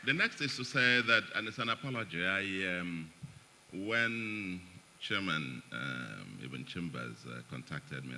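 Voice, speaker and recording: A middle-aged Nigerian man addresses a forum audience, his very deep voice carrying a bright, forceful edge. He keeps it loose, slipping in joking asides between points. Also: vocal fry, slight background noise, low-quality recording. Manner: chatty, joking asides, chuckles between remarks. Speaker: guest speaker at an economic forum. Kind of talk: public speech, partly read. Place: event hall, in front of an audience.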